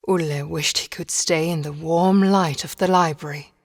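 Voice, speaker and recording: A woman narrating a storybook game in a measured, solemn fairy-tale style. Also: low-pitched, fairly even intonation. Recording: long reverb.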